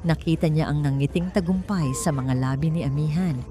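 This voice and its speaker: A woman with a low voice narrates a romance drama over music. Her delivery is measured and melodramatic, in the manner of scripted storytelling. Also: mellow. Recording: music behind the voice. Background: background music.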